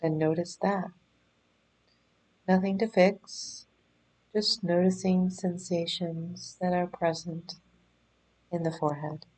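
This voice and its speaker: Female meditation guide, soft-edged mellow tone, very unhurried delivery, gentle and soothing instructions. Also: lowish voice, fairly even intonation, poor audio. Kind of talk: guided body scan meditation.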